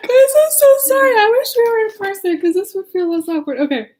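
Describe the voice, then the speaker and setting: A woman's voice: extremely high pitch, sing-song, fairly quick, a little mellow. A woman casually reading a children's story at home in a chatty, giggly manner, often breaking off to talk to her listeners.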